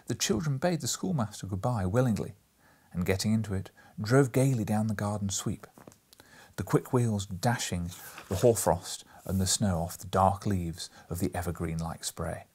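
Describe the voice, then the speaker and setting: A man reading a classic story aloud as an audiobook narrator, expressive and theatrical with breathy emphasis. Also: on the low side, normal speed.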